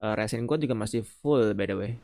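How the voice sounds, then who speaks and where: A man's voice: on the low side, fast talker, vocal fry, background noise. An Indonesian man giving casual live game commentary as a streamer, informal and slangy, mixing in English words. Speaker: gaming streamer.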